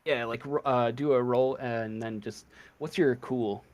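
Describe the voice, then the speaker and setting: Male game master for tabletop RPG, slow pace, halting improvised narration, mid-pitched voice. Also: steady tone, darkish tone. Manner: halting, searching for words.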